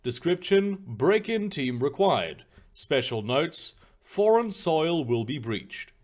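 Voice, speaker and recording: A man's voice: on the high side, slow pace. A man acting as game master, rambling and then reading out a mission brief. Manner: rambling, animated, reads mission briefs aloud. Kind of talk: running a tabletop game.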